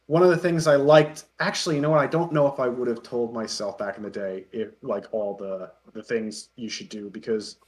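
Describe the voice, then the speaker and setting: A man's voice: mid-range voice, steady tone, a little fast, few pauses. A man chatting casually as an interview guest, rambling and acting out imagined lines as he explains. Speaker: guest, parody web video creator and editor. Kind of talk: animated casual chat.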